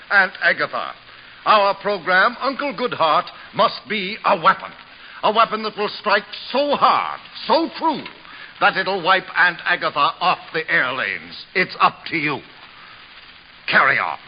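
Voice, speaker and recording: Self-important boss, muffled old radio. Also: male voice, high voice, a little hiss or hum. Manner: clipped, solemn, speechifying. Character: grave, self-important male production boss. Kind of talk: 1950s radio comedy acting.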